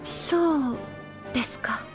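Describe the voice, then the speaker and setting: Very high, gentle young princess. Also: female voice, slow, music in the background. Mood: subdued. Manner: soft, polite, hesitant. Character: gentle, earnest young princess unsure of herself. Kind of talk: video game cutscene acting.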